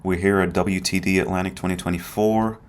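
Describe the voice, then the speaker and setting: A man with a low, deep voice presents a conference talk. He keeps it conversational and often tags his points with a quick "right?" to the audience. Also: flat delivery, slight background noise. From Egypt. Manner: conversational, often adds tag questions. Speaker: conference speaker.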